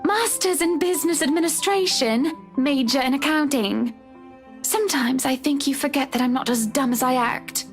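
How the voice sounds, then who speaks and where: A woman's voice: high voice, moderate pace, faint music behind. A woman playing a chatty, self-assured wealthy wife in a dramatic visual novel, with a breezy, assertive manner. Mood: defensive, assertive. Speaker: game character.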